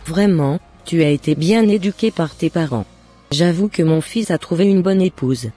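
Mellow, husky older mother played by a woman. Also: fairly low pitch, expressive, normal speed, faint music behind. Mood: approving.

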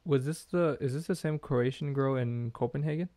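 A man's voice: medium pitch, moderate pace, dark tone. A young man hosting a podcast, asking curious questions and affirming with "I see" and "gotcha," speaking close to a studio mic at home. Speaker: podcast host. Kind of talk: casual interview chat. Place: bedroom at home.

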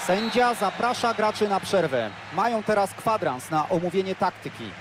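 A man doing play-by-play commentary for a football video game, lively and bursting out on goals. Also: sits high, normal speed, noisy background. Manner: excitable, erupts on goals. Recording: loud stadium crowd behind.

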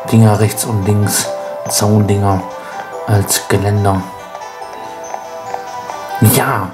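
A man with a cold, sounding stuffy, rambling wryly as he hosts a casual gameplay commentary. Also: lowish voice. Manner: rambling, self-mocking, wry. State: congested with a cold. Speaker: let's play host.

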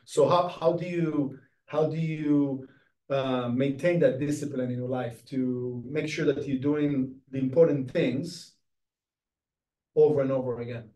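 A man's voice: medium pitch, fairly even intonation, measured pace, dark tone. A man in his thirties or forties hosting a casual interview in an encouraging, reflective way. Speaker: host, interviewer. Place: small indoor studio set, seated. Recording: roomy, distant mic.

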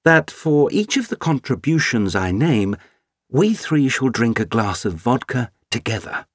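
A man's voice: medium pitch, sing-song, unhurried, slightly rough, dry recording, studio quality, pitched above the performer's own voice. A man voicing an eloquent, gallant Russian count who speaks in florid, theatrical oratory. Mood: grandiloquent.

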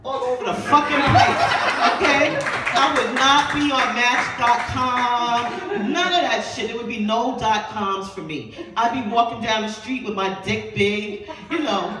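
A brash woman in her fifties tells raunchy, profane stories and keeps tossing asides to the crowd. She is recorded from far back in a roomy little club. Loud crowd noise sits behind her voice. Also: fairly high pitch, measured pace. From New York. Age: 50s. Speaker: stand-up comedian. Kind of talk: raunchy stand-up set. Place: small dim club with a low stage. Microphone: handheld mic, filmed by a far camera in the crowd.

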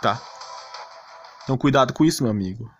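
Fast-talking Brazilian man streaming a game. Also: medium pitch. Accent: Brazilian Portuguese. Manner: slangy, mildly grumbling. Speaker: gaming streamer. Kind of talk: casual live game commentary. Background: game music.

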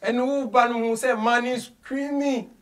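Male interview host, Ghanaian accent, high-pitched, emphatic and animated, code-switching between English and Twi. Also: a little slow. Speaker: radio show host. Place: small radio studio office, indoors.

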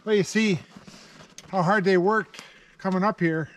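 A man's voice: sits high, fairly even intonation, measured pace, fairly bright tone, a little hiss or hum. An older man working draft horses in snowy woods, explaining his work in a plain-spoken, casual way. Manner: plain-spoken, giving short commands to horses. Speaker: horse logger. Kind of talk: casual chat while working. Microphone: camera mic from a few meters away.

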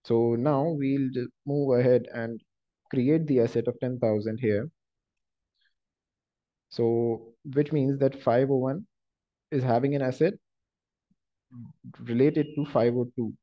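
A man narrates a software lesson in a dull, lo-fi recording. His voice sits at an average pitch. He explains each step in a halting, instructive way, as a trainer sharing his screen. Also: fairly even intonation. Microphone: screen-recording voice-over.

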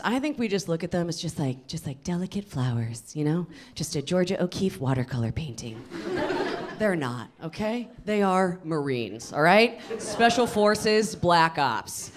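Animated American woman doing stand-up. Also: fairly low pitch, measured pace, noisy background. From suburbs of Boston, United States. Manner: animated, emphatic delivery. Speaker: stand-up comedian. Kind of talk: stand-up comedy routine. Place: small indoor comedy venue with audience. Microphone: handheld microphone. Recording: live room with loud audience laughter. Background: audience laughter.

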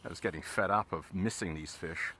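Low-voiced man teaching casting on a river. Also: a little fast, a little hiss or hum. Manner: relaxed, explains steps as he casts. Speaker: fly fishing instructor. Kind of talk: casual instruction while fishing. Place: outdoors on a river, in a boat.

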